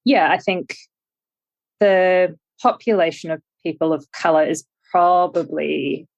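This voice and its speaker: Young kiwi woman, low voice, dry close sound, pauses and restarts a lot. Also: creaky, no echo, highs cut off. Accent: New Zealand. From New Zealand. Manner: thoughtful, many ums and restarts. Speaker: podcast guest, startup founder. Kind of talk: casual interview chat.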